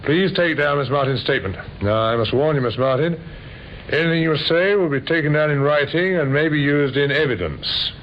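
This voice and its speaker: An actor playing a courteous, weary police inspector in an old radio crime drama, speaking in a halting, probing way. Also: male voice, a little high, close to an everyday voice. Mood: measured, guarded. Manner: hesitant, polite, halting with many uhs. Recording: dull, noisy old radio recording.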